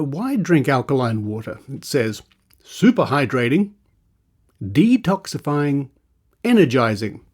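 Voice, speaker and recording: Sardonic man, slightly high-pitched voice. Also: darkish tone. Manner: dry, sarcastic. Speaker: podcast host. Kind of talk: reading adverts aloud with sceptical asides.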